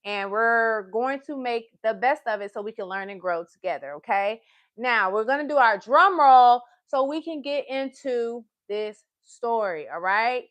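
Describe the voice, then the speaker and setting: A woman hosting a live story time for children, excitable and encouraging, often repeating phrases and addressing her listeners as friends. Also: fairly high pitch, measured pace.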